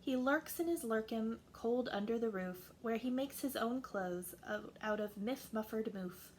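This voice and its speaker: A woman with a fairly high voice reads a rhyming picture book aloud. Her delivery is expressive storytelling, in the manner of a schoolteacher. Faint noise runs behind the recording. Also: a little slow. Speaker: teacher reading aloud. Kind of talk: expressive children's storybook read-aloud in rhyme.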